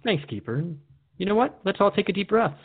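A man's voice: high-pitched, big pitch swings, brisk, gravelly, husky, no room sound. A man hosting a radio show in a conversational, earnest and reflective way. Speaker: radio host. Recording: dry, phone-like narrow band.

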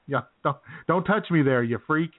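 A man's voice: a little high, expressive, unhurried. A man co-hosting a radio show in casual, comedic chat, telling stories in a jokey, self-deprecating way. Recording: tinny phone-like sound.

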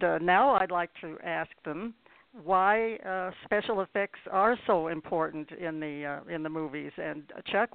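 A woman hosting a radio interview, speaking in a halting, hesitant way. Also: fairly low pitch, dry recording. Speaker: radio show host. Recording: tinny phone-like line.

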